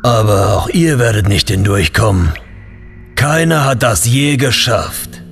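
An ancient guardian of a royal tomb, acted by a man, speaking at a slow, stately pace. The delivery is pompous and archaic, as in a fantasy radio play, with faint music behind it. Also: on the low side, expressive, creaky, fairly bright tone, heavily acted. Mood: solemn, menacing. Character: ancient, grandiose male guardian of a king's tomb. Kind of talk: audio drama acting.

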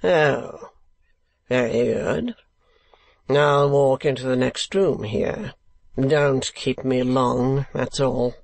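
A breezy, scheming gentleman from a classic storybook, acted by a narrator. His delivery is slow, theatrical and affected, at a middling pitch. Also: fairly even intonation, creaky, no echo. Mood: affected surprise.

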